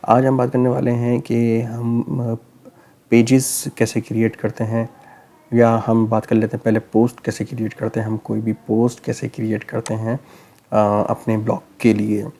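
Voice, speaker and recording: A man with a sore, hoarse throat, walking through a computer tutorial step by step in a relaxed, explaining way, often pausing to check in. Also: mid-range voice. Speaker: tech tutorial host. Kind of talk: screen-recorded tutorial narration.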